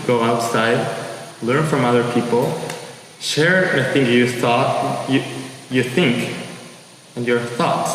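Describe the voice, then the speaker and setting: Slow, earnest young man in echoey hall. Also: medium pitch. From Japan. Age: 20s. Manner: halting, earnest, pleading. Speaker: exchange student. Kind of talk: earnest motivational address to listeners. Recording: echoey hall with long reverb and steady background noise.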